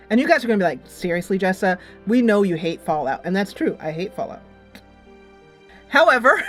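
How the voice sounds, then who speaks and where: A woman hosting a gaming channel, chatting casually in a rambling way with some dramatic rhetorical flourishes. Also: medium pitch. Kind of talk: casual game review and upcoming series chat. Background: music.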